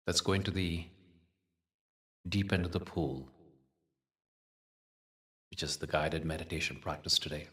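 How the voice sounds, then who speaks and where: A man leading a guided meditation as the teacher, soothing and unhurried, with measured phrasing and long pauses. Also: sits low, fairly bright tone, recorded from a distance.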